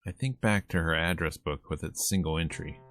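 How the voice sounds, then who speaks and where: A man streaming a visual novel, reading the narration aloud in a conversational, expressive way. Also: extremely low pitch, fairly quick. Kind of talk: game let's-play, reading narration aloud.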